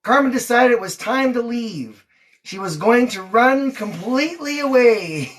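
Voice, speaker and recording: Female storybook reader, unhurried and animated, expressive delivery for young listeners. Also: a little high. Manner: expressive, acting out characters' lines. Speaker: mother reading to her daughter on a livestream. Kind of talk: storybook read-aloud.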